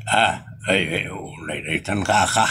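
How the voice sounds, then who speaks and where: A man's voice: deep voice, unhurried, pushed and bright, slight background noise. A man with an Ilocano accent playing a weary, grumbling husband and father in a comedy audio drama.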